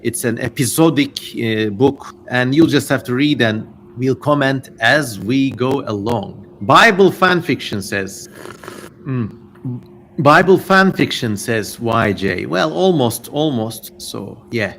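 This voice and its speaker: A male livestream host speaks in a medium-pitched voice over noticeable background noise. He reads aloud with theatrical flair and drops in casual, rambling commentary. The audio has the feel of an amateur streaming microphone. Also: animated, takes it slowly, a bit bright. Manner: digressive, informal asides amid theatrical reading. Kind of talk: casual livestream chat with dramatic reading and running commentary.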